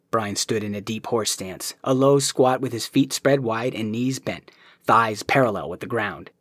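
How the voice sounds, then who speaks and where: A man reading a novel aloud in an even storytelling manner, his voice husky and breathy. The sound is close and dry, with no room around it. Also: mid-range voice, no room sound. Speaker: narrator. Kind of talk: audiobook narration.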